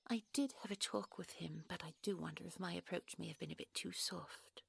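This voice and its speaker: A woman playing a gentle, secretive fairy princess in an intimate audio roleplay, with a soft, breathy voice and a genteel, coy, polite manner. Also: fairly low pitch, fairly quick, no room sound, full character voice. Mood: gently chatty. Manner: genteel, coy, old-fashioned polite phrasing. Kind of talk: intimate audio roleplay acting, one side of a dialogue.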